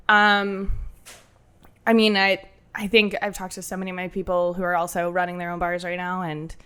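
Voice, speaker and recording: A woman bartender with a nasal voice, chatting casually on a podcast and telling animated stories with quoted asides. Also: medium pitch, clear, resonant tone. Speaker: bartender and cocktail menu creator.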